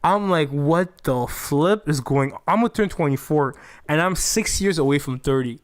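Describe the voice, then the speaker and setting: Casting: young man in his twenties, fairly high voice, lively chat with many restarts. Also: normal speed. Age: 20s. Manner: animated, restarts often, quotes himself. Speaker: podcast co-host. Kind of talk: casual chat.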